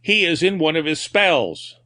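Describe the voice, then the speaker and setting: A man voicing a gentle, sad-sounding asylum attendant who speaks softly. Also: a little high, lively intonation, measured pace, no room sound, clean studio sound, pitched above the performer's own voice. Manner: gentle, sad and soft-spoken.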